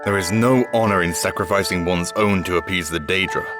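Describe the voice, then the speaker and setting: Male actor as honor-bound fantasy game companion. Deep, stern, measured, over clear background music. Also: close to an everyday voice. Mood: stern, disapproving. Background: mallet game music.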